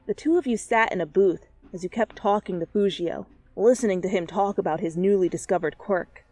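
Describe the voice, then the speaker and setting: A woman giving an expressive, theatrical dramatic reading of fan fiction as narrator. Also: mid-range voice, fairly quick, no room sound. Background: background music.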